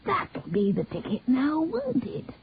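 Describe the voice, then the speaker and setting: A woman's voice: high voice, wildly swooping pitch, a little slow, slight background noise, pitched above the performer's own voice, full character voice. A woman voicing a sly, cackling old village man who taunts with mocking questions. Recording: tinny dry phone-like sound.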